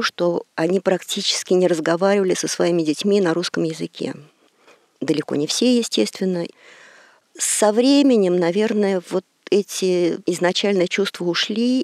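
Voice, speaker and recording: A middle-aged woman from Russia, working as a court interpreter, giving measured and reflective answers with small hesitations in an interview. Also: lowish voice, darkish tone, no room sound, studio quality.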